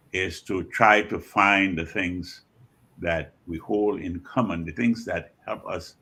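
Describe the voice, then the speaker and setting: Elderly Liberian man, husky and deliberate. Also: low voice, fairly bright tone. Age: 70s or older. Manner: measured, deliberate phrasing with frequent pauses and repetitions. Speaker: guest author and scholar. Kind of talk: reflective interview talk.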